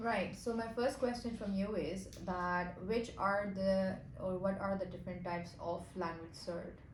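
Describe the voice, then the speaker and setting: A woman's voice: mid-range voice, fairly even intonation, roomy sound, slight background noise, low-quality recording. A woman with a Pakistani accent answering exam questions, hesitant and full of fillers. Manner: very slow, hesitant, many fillers. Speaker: co-host.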